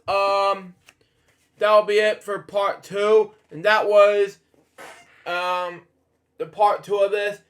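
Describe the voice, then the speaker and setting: Male storyteller, higher-pitched voice, slow pace, stumbling delivery, casual rambling asides. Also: a bit bright. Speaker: host reading bedtime stories. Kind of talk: casual read-aloud with off-the-cuff asides.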